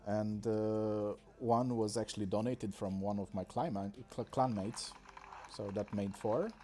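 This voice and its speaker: Slow, mellow-voiced man. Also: fairly low pitch, steady tone. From Romania. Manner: halting, many pauses and fillers. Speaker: game streamer. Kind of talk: casual live-stream commentary. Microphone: desk microphone.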